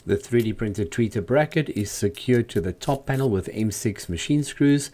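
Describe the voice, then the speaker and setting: A man's voice: lowish voice, animated, moderate pace, warm tone. A man hosting a do-it-yourself video, narrating in a scripted tutorial style. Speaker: host, DIY speaker builder.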